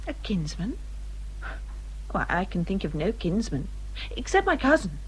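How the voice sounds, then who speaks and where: An actress playing a polite, self-possessed young English gentlewoman, earnest and quietly firm, in a period radio drama. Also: medium pitch, sing-song, unhurried, husky, full character voice, rough recording. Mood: flustered surprise. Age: young adult.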